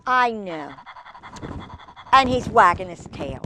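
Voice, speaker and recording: Expressive woman reading to children. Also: mid-range voice, slow, heavy background noise. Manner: gushing, expressive, exclaiming over pictures. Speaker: children's storytime host. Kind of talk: storybook read-aloud with chatty asides to children.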